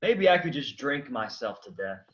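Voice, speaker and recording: A man's voice: mid-range voice, big pitch swings, normal speed, lo-fi sound, lightly acted. An actor playing a dejected, irritable resurrected man drowning his sorrows in a comic play reading. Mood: gloomy.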